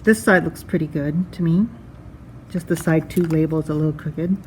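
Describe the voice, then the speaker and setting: A woman's voice: low voice, steady tone, normal speed, a little mellow, slight background noise, low-quality recording. A woman giving a casual hands-on product review, thinking aloud in a conversational way.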